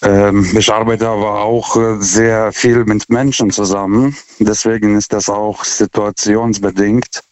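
Man, non-native speaker, radio caller, hesitant, low flat voice, dull dry sound. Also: a little slow, few pauses, no echo. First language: not German. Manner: hesitant, many fillers and restarts. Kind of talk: phone-in call.

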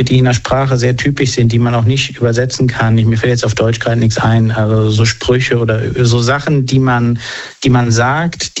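A man speaks in a flat, even tone, matter-of-fact about his answers and stopping now and then to find his words. His voice sits in a middle pitch. The recording is dull and lo-fi. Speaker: interview guest. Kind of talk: casual conversation.

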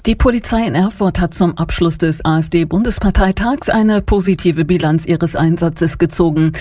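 Female newsreader, measured and even delivery, warm low voice. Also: no echo, faint music behind. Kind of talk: scripted radio news reading. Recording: dry studio sound.

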